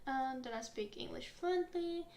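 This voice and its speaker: A young woman, a native Finnish speaker, gently interviewing in a soft-spoken ASMR roleplay, unhurried with soft giggles. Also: high voice, reverberant, a little hiss or hum. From Finland.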